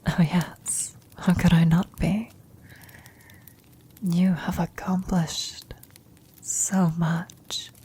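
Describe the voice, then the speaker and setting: A dying old adventurer , played by a woman, speaks in a very husky, breathy whisper. She is tender and serene with her former lover in this ASMR roleplay, taking her time over each reflective phrase. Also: fairly low pitch, little pitch movement, very slow, long pauses, dark tone, heavily acted. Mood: proud, loving.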